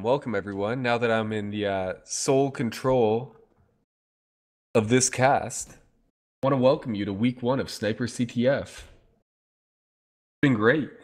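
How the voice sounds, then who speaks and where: A man casting a live online game match, talkative and playful, his voice going hoarse. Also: medium pitch, a little slow, pauses often. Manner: talkative, playful, hypes the chat. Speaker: esports caster. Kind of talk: casual live game commentary.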